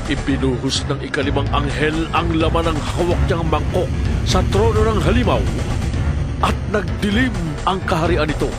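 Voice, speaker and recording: High-voiced Filipino man narrating over loud music. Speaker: Bible narrator. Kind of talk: dramatic scripted narration.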